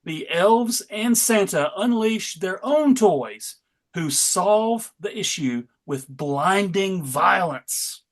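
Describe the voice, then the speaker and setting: A man narrating a live comic book read in a wry, deadpan way. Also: sits high, slow pace.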